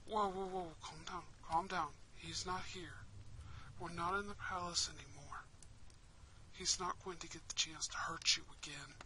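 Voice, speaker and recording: A woman's voice: fairly low pitch, normal speed, slight background noise, voice pushed down. A woman voicing a kind, protective young prince in an intimate roleplay, tender and dramatic. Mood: concerned, soothing. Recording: steady rain and fireplace ambience.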